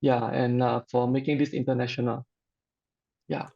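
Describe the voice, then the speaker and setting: A middle-aged man with a Malaysian accent tells a personal story informally. His voice sits at a medium pitch with a creaky, gravelly edge. He speaks haltingly, with frequent hesitations, and the audio is dull and lo-fi. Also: flat delivery, warm tone. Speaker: customer giving a testimonial.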